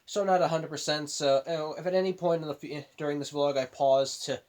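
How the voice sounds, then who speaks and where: A man's voice: on the high side, steady tone, brisk, a little mellow. A man with a cold and a nasal voice, casually recapping a cartoon episode for his vlog, rambling with frequent restarts and self-corrections. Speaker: show reviewer.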